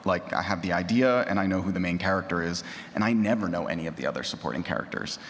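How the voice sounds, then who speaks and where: A man's voice: deep voice, quick pace, fairly bright tone, slight background noise. A man speaking off the cuff on a panel as an audio drama creator. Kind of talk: unscripted panel discussion. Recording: echoey hall.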